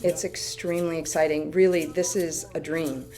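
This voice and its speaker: Woman academic from the Midwest, measured documentary interview tone, soft music underneath. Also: sits low, steady tone. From Midwest United States. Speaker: anthropology professor.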